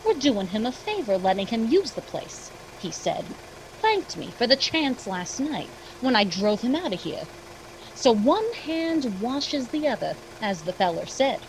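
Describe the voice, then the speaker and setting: A breezy property agent from a radio play, folksy and salesy. Her pitch is on the high side and moves in a lively way as she reassures and persuades. A faint idling engine runs behind her. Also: unhurried, a little hiss or hum, low-quality recording. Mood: reassuring, breezy. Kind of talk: old-time radio acting.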